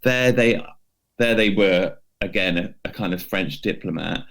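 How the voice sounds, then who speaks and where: Low-voiced man, slow and hesitant. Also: a bit bright. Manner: hesitant, loosely phrased, hedging. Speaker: podcast co-host presenting a history feature. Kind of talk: casual unscripted storytelling to a co-host.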